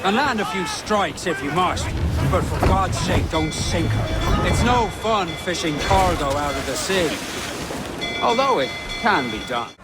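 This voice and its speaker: An actor playing a seasoned pirate captain in a video game, commanding, mocking and menacing, lecturing in theatrical sailor's talk. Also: male voice, sits high, expressive, unhurried, loud music behind the voice. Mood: commanding, instructive. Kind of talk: video game cutscene acting.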